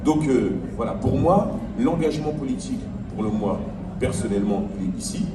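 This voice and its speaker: A man's voice: mid-range voice, lively intonation, brisk. A man born in France, an elected official, speaking on a panel discussion in an earnest, argumentative way, repeating words as he builds his point. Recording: roomy, distant, noisy ambience.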